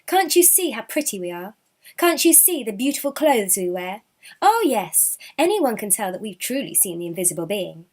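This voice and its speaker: A woman's voice: mid-range voice, big pitch swings, normal speed, darkish tone. A woman voicing two vain, cruel older sisters in a children's folktale, boastful and whiny, easily flustered. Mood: smug, insistent.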